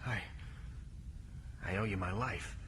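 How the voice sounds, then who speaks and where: An actor playing an anguished, proud fallen Dark Jedi in a melodramatic audio drama, intense and dramatic in delivery. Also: male voice, lowish voice, takes it slowly, slight background noise. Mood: shaken, grave. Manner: intense, dramatic outbursts and broken phrases.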